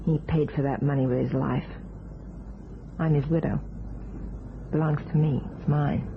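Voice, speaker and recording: An actress playing a weary, guarded widowed waitress, blunt and clipped, in a crime radio play. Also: female voice, low voice, fairly even intonation, unhurried, vocal fry. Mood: bitter, defiant. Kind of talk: old-time crime radio play acting. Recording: dull, noisy old radio recording.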